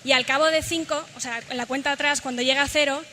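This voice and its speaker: Bright-voiced woman leading a workshop. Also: high-pitched, steady tone, quick pace, a little hiss or hum. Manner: instructive, guiding a group. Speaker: workshop leader. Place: large hall. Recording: echoey hall, faint ambience.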